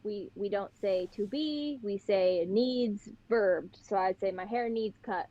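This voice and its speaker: A young woman with a Pittsburgh accent talks as a podcast guest, telling stories in an animated, chatty way. Her voice sits at a middling pitch. The recording is a little dull and lo-fi. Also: slow pace. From Pittsburgh, Western Pennsylvania. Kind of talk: casual chat. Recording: slightly dull, lo-fi sound.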